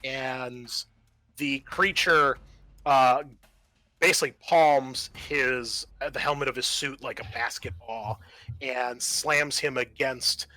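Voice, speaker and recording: Male game master for horror tabletop play: unhurried, bright, halting descriptive narration. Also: medium pitch, fairly even intonation, no echo, poor audio.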